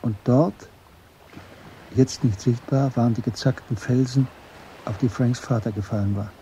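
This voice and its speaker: A man narrating a German literary radio drama in a measured, understated way. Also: medium pitch, steady tone, noisy background, close to an everyday voice.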